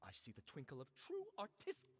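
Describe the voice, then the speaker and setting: A woman voicing a vain, melodramatic male artist in a video game, with a pompous, theatrical, husky delivery. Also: very deep voice, huge pitch swings, a little fast, heavily acted. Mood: dramatic. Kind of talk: game voice acting.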